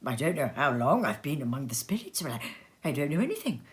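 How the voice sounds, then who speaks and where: A woman's voice: low-pitched, big pitch swings, fast talker, voice pushed down. A woman reading a storybook aloud and voicing an elderly male miser, reformed and giddy with joy, who exclaims breathlessly and laughs through tears. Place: home sitting room.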